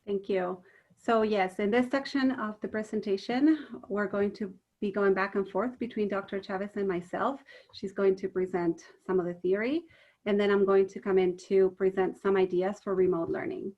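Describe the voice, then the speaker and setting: A woman co-hosts an online teacher workshop and lays out the plan for the session in a clear, organized way. Her voice sits in the middle range. It comes through a dull, lo-fi video call line. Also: mid-range voice, fairly even intonation, normal speed, a little mellow. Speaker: co-presenter, series host. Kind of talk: webinar presentation.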